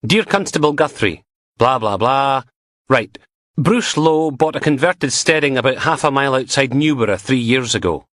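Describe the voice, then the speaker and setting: A man's voice: mid-range voice, animated, moderate pace, fairly bright tone, no room sound, studio quality, pitched above the performer's own voice, natural voice. A man voicing an eager young male police constable who reports in a chatty way.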